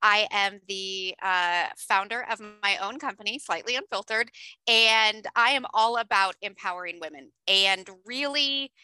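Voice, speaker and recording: A woman's voice: medium pitch, a little slow, sharp tone, clean tone, no echo, rough recording. A woman guest co-host on a podcast who speaks in an upbeat, enthusiastic, articulate way. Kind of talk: casual podcast conversation.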